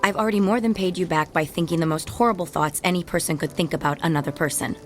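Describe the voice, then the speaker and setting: A wounded, sharp-tongued ex-wife played by a woman in a radio drama. Her voice sits a little low and her retorts are sardonic and guarded, with soft music underneath. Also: fast, natural voice. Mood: bitter, angry.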